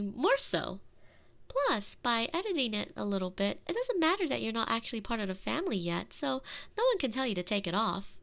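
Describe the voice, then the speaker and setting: A woman's voice: on the high side, creaky, exaggerated voice. A woman playing a doting, possessive young noblewoman in a scripted roleplay, spoiled and insistent, speaking in a gushing, coaxing way straight to the listener. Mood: excited, adoring. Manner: gushing, coaxing, expressive and intimate.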